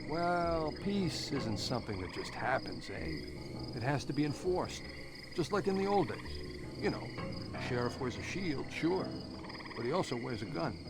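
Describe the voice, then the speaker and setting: An actor playing a smug, self-righteous male villain in a video game, speaking in a folksy, rhetorical, quietly menacing way. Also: fairly high pitch, sing-song, a little slow. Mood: calm menace. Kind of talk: dramatic video game voice acting. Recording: very noisy night ambience with insects.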